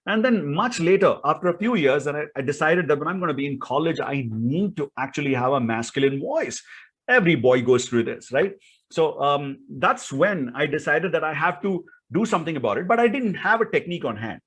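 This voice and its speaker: A man's voice: on the high side, lively intonation, fairly quick, a bit bright, breathy edge. A man with an Indian accent giving an instructional talk as a speaking coach, in an emphatic, theatrical way full of rhetorical questions.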